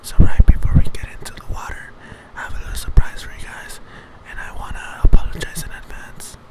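A young man hosting a joking ASMR video in a breathy whisper, right up against the microphone in a home kitchen. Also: brisk, rough recording. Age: young adult. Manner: mock-soothing, joking. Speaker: vlogger, host. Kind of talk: ASMR parody, whispered chat to viewers. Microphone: table-top microphone very close to the mouth.

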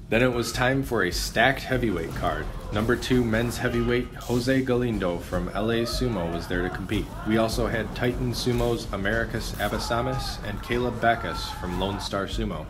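A man giving scripted narration of a sports event in an even, matter-of-fact way. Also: lowish voice. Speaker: video editor and narrator. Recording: voice-over with loud music beneath. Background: music.

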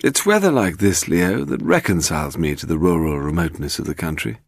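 A man's voice: sits low, expressive, fairly quick, creaky, no room sound, clean studio sound, full character voice. A man voicing a bluff, pompous older male historian in an audiobook, with a hearty, exclamatory manner. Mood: cheerful musing. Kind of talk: audiobook character voice.

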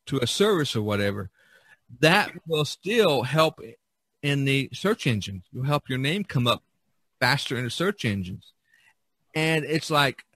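A man's voice: a little high, fairly bright tone, breathy edge, dry recording. A man hosting a podcast, chatting casually and giving advice in an emphatic, self-deprecating way, often repeating himself. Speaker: podcast host and artist.

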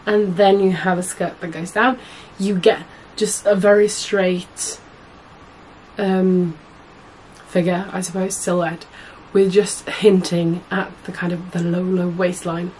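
A young woman with a husky voice, hoarse from a cold, chatting to camera as a sewing vlogger in a small room at home, rambling and correcting herself often. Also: lowish voice, little pitch movement, slow, a little mellow, slight background noise, rough recording. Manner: chatty, rambling, many fillers and self-corrections. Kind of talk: casual chat to camera.

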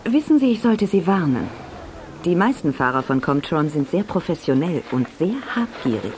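A woman's voice: sits low, big pitch swings, normal speed, darkish tone. An actress playing a cool, guarded businesswoman in an audio drama, on a noisy old cassette. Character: cool, guarded businesswoman running a race registration.

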